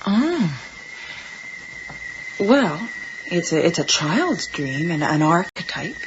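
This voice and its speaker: An actress playing an earnest, methodical woman psychiatrist, speaking in a measured, probing way in a radio drama. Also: fairly low pitch, big pitch swings, background noise. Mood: puzzled, thoughtful. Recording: dull 1980s radio broadcast with music.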